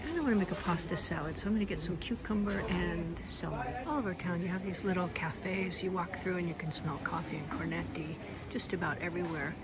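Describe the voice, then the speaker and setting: A woman's voice: on the low side, fast, few pauses. A woman narrating a walking tour of her town, chatty and enthusiastic as she points out shops and sights along the way. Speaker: vlogger giving a walking tour. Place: outdoors on town streets and a piazza. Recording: loud street noise and traffic.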